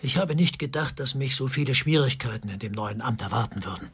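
Husky, cornered officer. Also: male voice, medium pitch, a little fast, a bit bright. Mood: bitter, troubled. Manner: agitated, swinging between indignant outbursts and subdued confession. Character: cornered male army officer under interrogation, defensive, tormented. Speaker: suspect, military governor. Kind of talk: German radio crime drama acting.

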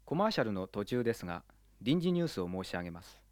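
A man's voice: fairly low pitch, sing-song, fast, a little mellow, no echo, clean studio sound. A man playing a formal, solemn announcer for news bulletins and commercials in an amateur radio drama.

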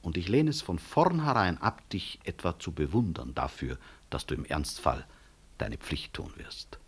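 An actor playing a proud, principled senior physician in a radio drama, blunt and dryly ironic. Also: male voice, low-pitched, huge pitch swings, moderate pace, breathy edge, heavily acted. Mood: dry, sceptical. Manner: blunt, incisive, sardonic. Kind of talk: 1960s German radio drama acting.

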